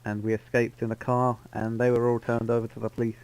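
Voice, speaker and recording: A man's voice: medium pitch, monotone, quick pace, a little mellow, smooth, rough recording. Rambling roleplayer, a man who spins a tall tale and keeps fixing his own details. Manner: rambling, self-correcting storyteller. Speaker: roleplay player. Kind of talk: in-character roleplay storytelling. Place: online game voice chat.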